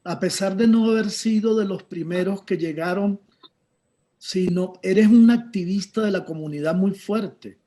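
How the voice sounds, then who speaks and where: A man's voice: high voice, unhurried, mellow. A man from Táchira, Venezuela, moderating a panel over a video call, conversational and asking long questions.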